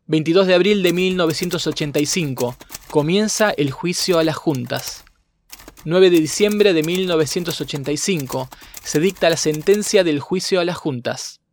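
A man's voice: a little high, slight background noise. Formal male narrator, typewriter clicking behind. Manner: formal, factual. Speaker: narrator of a documentary podcast. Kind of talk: scripted narration of dated events.

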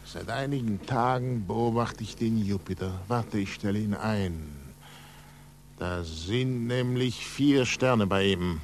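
An actor in a 1940s German radio play playing a passionate, excitable male scientist who believes defiantly in reason, speaking with rapturous emphasis. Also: fairly low pitch, slow pace. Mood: hushed wonder. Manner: emphatic, rapturous, swinging from hushed to shouting. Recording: noisy, humming 1940s radio recording.

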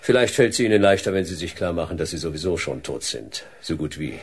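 Very deep, gravelly hard-boiled detective. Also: male voice, animated, fast, heavily acted. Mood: cold, threatening. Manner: terse, stern, dry. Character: hard-boiled, relentless male private detective of the future. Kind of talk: radio drama acting and noir narration.

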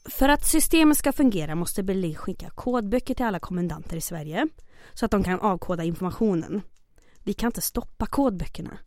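An actress playing a mayor's aide in the resistance, businesslike but hesitant and scheming. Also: female voice, mid-range voice, animated, darkish tone, dry recording, clean studio sound, natural voice. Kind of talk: audio drama acting.

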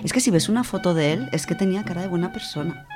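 A woman's voice: on the low side, huge pitch swings, quick pace, over music. A woman with an accent from Spain gives an informal radio talk in a conversational way, repeating words as she goes. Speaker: radio book-segment contributor. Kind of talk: informal spoken presentation.

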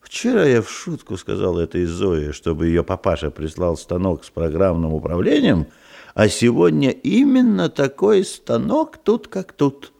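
An actor in a radio play voicing a flustered, eager-to-please middle-aged head of a youth society, muttering and exclaiming in a husky voice. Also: male voice, on the low side, very animated, a little slow, dark tone, exaggerated voice. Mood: obliging, amused. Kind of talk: theatrical Soviet radio drama acting.

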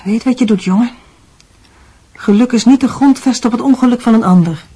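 An anxious mother in a radio drama, moralizing at her grown son. Her voice is fairly high for a woman, with a mellow, husky tone. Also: fairly quick. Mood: worried, admonishing. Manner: admonishing, moralizing.

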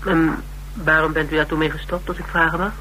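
An actor playing a polite, inquisitive interviewer in a radio drama, probing with courteous, lightly amused questions. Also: male voice, on the high side, level tone, a little fast, sharp tone, poor audio. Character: polite, inquisitive male interviewer sizing up a recruit. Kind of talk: naturalistic Dutch radio drama acting. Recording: radio recording with background hiss and hum.

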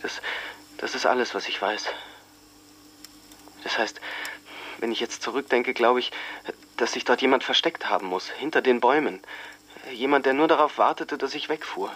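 An actor playing an earnest young boyfriend giving a statement in a radio drama, halting and plain-spoken, repeating words. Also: male voice, medium pitch, fairly bright tone, lightly acted. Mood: uneasy.

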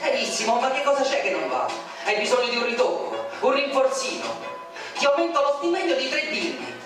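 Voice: high voice, expressive, a little fast. A reader voicing a smug, patronizing male boss who talks in a honeyed, coaxing way, in a radio poem reading. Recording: very echoey, distant from mic, music behind.

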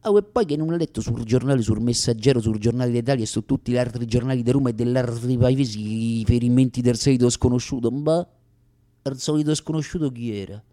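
An actor playing a boastful Roman street bully in a comic theatrical monologue, with a thick Roman accent and a swaggering, declamatory slangy delivery. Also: male voice, medium pitch, quick pace, warm tone, lightly acted. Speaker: stage actor performing a one-man radio play.

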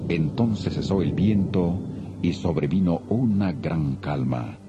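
Casting: deep-voiced man, solemn yet lively storyteller for dramatized Bible narration over music. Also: slow. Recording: dull audio with music bed.

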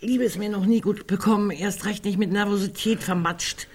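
A woman's voice: mid-range voice, steady tone, moderate pace, a little mellow, husky, lightly acted. An actress playing a sardonic, morbid woman in a radio play, dry and blunt, often musing. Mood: grumpy. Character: sardonic, morbid woman in a right-to-die society. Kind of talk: German radio play acting.

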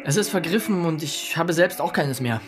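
An actor playing a reserved, courteous English writer and tutor in a radio drama, understated and a little hesitant. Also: male voice, fairly high pitch, animated, moderate pace, natural voice. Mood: modest, hesitant. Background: music.

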